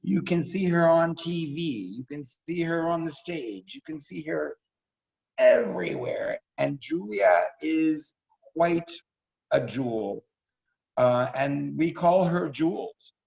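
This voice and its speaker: A man's voice: on the high side, slow pace, gravelly, a little mellow. A middle-aged man casually introducing performers as host of a staged reading over a video call, effusive and repeating himself for emphasis. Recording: dull video call audio.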